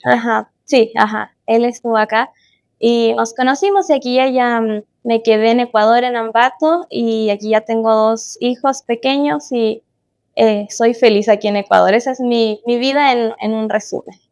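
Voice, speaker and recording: Higher-voiced young Chilean woman. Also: fairly even intonation, normal speed, a little mellow, smooth. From Chile, born in Guatemala. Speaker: podcast guest. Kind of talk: casual interview chat, personal reminiscence.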